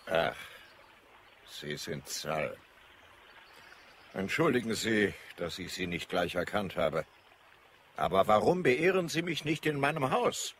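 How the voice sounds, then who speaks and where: A haughty male Martian tyrant from a radio play. He speaks slowly and pompously, with big swings in pitch over ambient noise. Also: big pitch swings, a bit bright, heavily acted. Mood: surprised, courteous. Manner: pompous, imperious. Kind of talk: radio drama acting.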